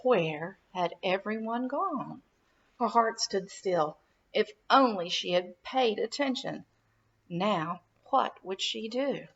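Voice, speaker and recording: A woman narrating a children's storybook in an expressive, dramatic read-aloud style for young listeners. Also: mid-range voice, takes it slowly, muffled recording. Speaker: children's minister reading a storybook.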